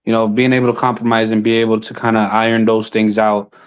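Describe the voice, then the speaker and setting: A man who sits on a city council, talking casually and haltingly about politics, filling his speech with "you know" and restarts. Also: fairly low pitch, completely flat delivery, clean tone, low-quality recording. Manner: halting, many fillers and restarts. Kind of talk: casual chat.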